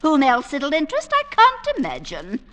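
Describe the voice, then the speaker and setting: An actress playing a bossy, domineering middle-aged wife in an old-time radio drama, scolding and imperious. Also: female voice, high-pitched, wildly swooping pitch, moderate pace, fairly bright tone, heavily acted.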